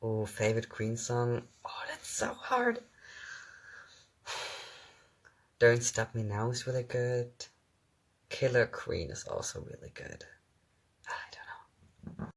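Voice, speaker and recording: A young man from Germany, a native German speaker with a mixed English accent, chatting casually on a phone live stream as he answers viewer comments with lots of soft giggles and hesitant fillers. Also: mid-range voice, sing-song, slow pace, creaky, pauses often, in a whisper. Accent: mixed English, not German-sounding.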